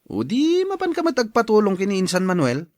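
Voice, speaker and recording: A man's voice: high voice, wildly swooping pitch, fairly quick, darkish tone, no room sound, clean studio sound. A man playing an easygoing, helpful older cousin in an Ilocano radio drama. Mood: breezy, lively.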